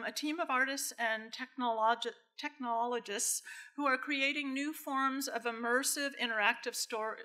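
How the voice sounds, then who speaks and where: A bright, slightly high-voiced woman introduces a guest at a lectern microphone. She talks haltingly, with many pauses and fillers. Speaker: film programmer introducing a guest. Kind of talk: formal introduction to an audience. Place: darkened cinema stage at a lectern.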